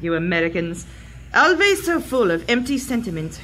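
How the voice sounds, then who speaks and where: A woman's voice: medium pitch, very animated, normal speed, a bit bright. A woman playing a haughty, ruthless villainess in a pulp adventure drama, imperious and formal. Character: haughty, ruthless German Nazi villainess, archaeologist.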